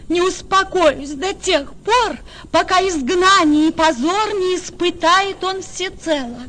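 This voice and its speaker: A woman's voice: very high-pitched, slow, a bit bright, slight background noise, heavily acted. An actress playing a proud, scheming young noblewoman in a radio drama, with an imperious, declamatory delivery. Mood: vengeful. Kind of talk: theatrical radio drama in verse.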